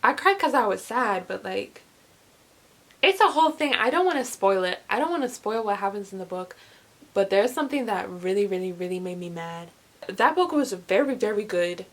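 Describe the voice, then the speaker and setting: A woman's voice: mid-range voice, animated, moderate pace. A young woman chatting casually as a vlogger, gushing and emphatic, often repeating words for emphasis. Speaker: book reviewer vlogger. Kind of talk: casual chat to camera.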